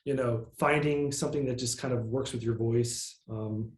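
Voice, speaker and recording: A man with a nasal voice, a musician guest in a casual interview, talking in a relaxed, rambling way with plenty of fillers. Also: medium pitch, moderate pace, reverberant.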